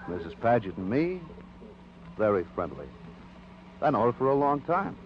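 An actor playing a surly, sarcastic movie projectionist in a 1950s radio crime drama, mocking and defiant. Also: male voice, mid-range voice, sing-song, normal speed, natural voice. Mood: insolent. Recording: muffled old radio, background noise.

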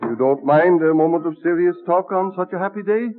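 A man's voice: fairly high pitch, a little fast, background noise, natural voice. An actor playing a genial colonial statesman, courtly, witty and persuasive, in vintage radio drama style. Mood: earnest. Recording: muffled, narrow-band old radio recording.

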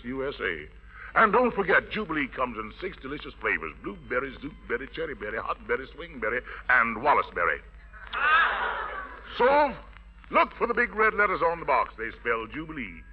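A man's voice: medium pitch, a little hiss or hum. A man emceeing a 1940s jive radio show with flamboyant, showy patter, on a muffled old recording. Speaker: master of ceremonies.

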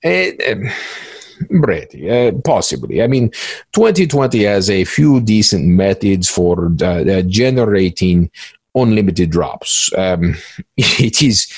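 Man acting as cagey male cybercriminal, smug, stammering, husky gravelly voice, pitch swinging around. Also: lively intonation, no echo, clean studio sound, full character voice. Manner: halting, stammering, repeats words, drawn-out pompous address. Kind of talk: one-act play interview.

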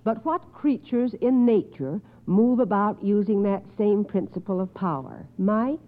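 A woman's voice: medium pitch, measured pace, a little hiss or hum. A woman hosting a 1950s radio quiz show in an encouraging manner. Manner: encouraging, affirming answers. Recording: tinny, band-limited 1950s radio recording.

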